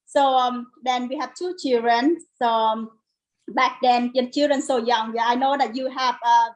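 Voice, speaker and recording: A woman's voice: a little high, fairly even intonation, normal speed, poor audio. A middle-aged woman from Vietnam with a Vietnamese accent, chatting informally as an interview guest in non-native English. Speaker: guest, home business owner. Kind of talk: casual interview chat.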